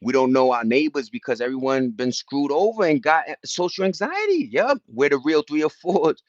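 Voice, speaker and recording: A man's voice: fairly high pitch, big pitch swings, moderate pace, no room sound, lo-fi sound. A man with an American accent talking casually on a livestream, passionate and emphatic, rambling in slangy street talk. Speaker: livestream host reading and answering viewer chat.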